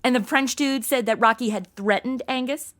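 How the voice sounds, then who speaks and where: A woman's voice: fairly high pitch, moderate pace, fairly bright tone, natural voice. An actress playing a brash, self-absorbed, sassy modern American woman in an audio comedy, blunt and flippant. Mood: flippant, impatient. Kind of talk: comedy audio drama acting.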